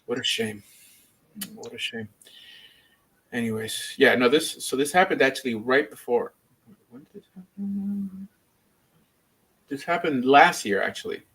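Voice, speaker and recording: A man's voice: medium pitch, normal speed, long pauses, a bit bright, slightly rough, poor audio. A man speaking casually as a rabbi and livestream host, rambling and opinionated in an informal way. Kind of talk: casual livestream chat and debate.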